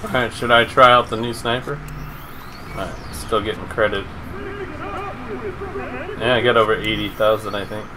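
A man's voice: fairly low pitch, fairly even intonation, normal speed, long pauses, a crowd in the background. A middle-aged man giving casual live commentary as he plays a video game, speaking into a close mic indoors. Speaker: live streamer. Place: indoor room at a gaming setup. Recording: noisy with game sound behind. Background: video game vehicle and gunfire sounds.

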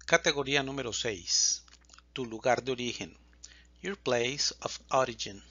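Slow, bright-toned man teaching Spanish. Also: medium pitch, no echo, dull audio. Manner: reads questions and answers slowly and distinctly, pausing between lines. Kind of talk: slow, clear language lesson reading example dialogues.

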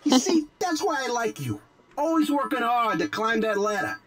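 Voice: mid-range voice, very animated, a bit bright. A woman voicing a peppy corporate office supervisor robot in a video game, giving hearty pep talks. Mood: upbeat, bossy. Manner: hearty, fast-shifting office pep talk. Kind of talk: video game character acting.

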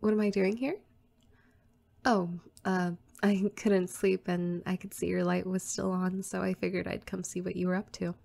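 A woman playing a playful, teasing young girl next door in an intimate, casual roleplay audio, soft-spoken and giggly. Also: lowish voice, level tone, moderate pace, vocal fry.

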